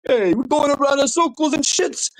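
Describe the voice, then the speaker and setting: A man's voice: very high-pitched, moderate pace, pitched above the performer's own voice. A man voicing a brash Italian-American tough guy with a New York accent and a clipped, in-your-face way of talking.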